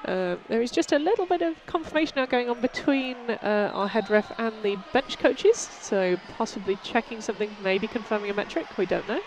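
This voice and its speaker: A woman's voice: a little high, lively intonation, fairly quick, hardly any pauses, a little hiss or hum. A woman co-commentating live on roller derby, chatty, friendly and self-deprecating. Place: sports venue.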